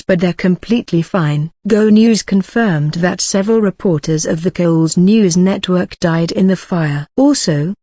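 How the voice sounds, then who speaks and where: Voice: mid-range voice, a little mellow, no echo, studio quality. A news announcer reading scripted emergency bulletins as a narration.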